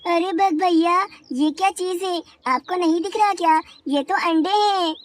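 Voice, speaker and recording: A woman playing a polite, worried female insect in a children's animated story. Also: very high-pitched, unhurried. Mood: urgent, puzzled. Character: worried young female insect, polite wife seeking help.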